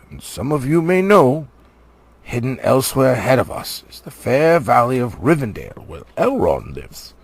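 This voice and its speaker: A man's voice: medium pitch, gravelly, slightly rough, full character voice. A man voicing a stern old wizard guide from a storybook, commanding and urgent, with an emphatic, admonishing delivery.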